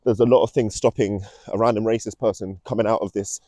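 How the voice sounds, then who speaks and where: A man's voice: on the low side, steady tone, fast, dark tone, dry recording, studio quality. A young man, talking earnestly and ominously in a casual rambling vlog as he walks outdoors. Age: young adult. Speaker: vlog host. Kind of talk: casual rambling monologue to camera. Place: outdoors, walking.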